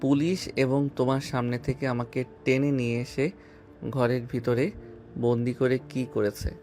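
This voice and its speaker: A man's voice: medium pitch, flat delivery, measured pace, darkish tone, a little hiss or hum, close to an everyday voice. Man acting harassed, pleading younger brother. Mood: aggrieved, pleading. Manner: complaining, pleading. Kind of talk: Bengali audio love-story drama.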